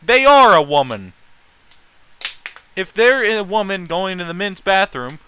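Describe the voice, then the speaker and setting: A man's voice: high voice, animated, unhurried, bright, forceful tone, slight background noise. A man in casual, opinionated stream chat, talking bluntly and swearing freely.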